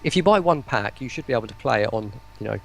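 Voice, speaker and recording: A man's voice: mid-range voice, animated, quick pace, creaky, a bit bright, poor audio. A man chatting casually as an interview guest, hesitating and repeating words as he explains his work. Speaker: interview guest, voice pack producer.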